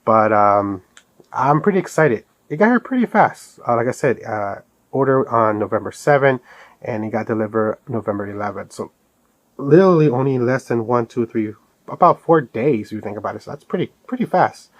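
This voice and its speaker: A man's voice: medium pitch, animated, moderate pace, no room sound, muffled recording. A man giving a casual, rambling product review as an enthusiastic fan of collectibles. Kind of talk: casual unboxing review vlog.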